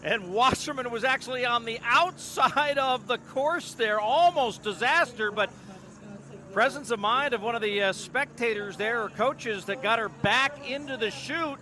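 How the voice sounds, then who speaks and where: Very high, bright male race commentator. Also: measured pace, slight background noise. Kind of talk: live sports commentary.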